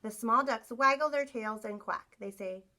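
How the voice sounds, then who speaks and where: A woman teacher reading a picture book aloud to young children in an expressive storytelling style, acting out sound effects. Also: a little high, moderate pace. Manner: animated, acts out sound effects, asks listeners questions.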